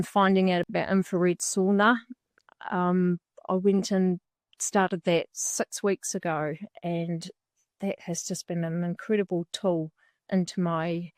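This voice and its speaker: A middle-aged woman with a New Zealand accent giving a heartfelt personal testimonial at a measured pace. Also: mid-range voice, dry recording, clean studio sound.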